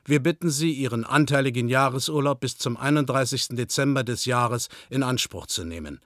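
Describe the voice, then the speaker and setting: An actor as a stern socialist bureaucrat, dry sound. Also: male voice, mid-range voice, a little fast, fairly bright tone, dry recording, close to an everyday voice. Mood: cold, officious. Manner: formal, officious. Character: stern, bureaucratic East German male theatre director issuing a dismissal. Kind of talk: radio drama, official letter read aloud.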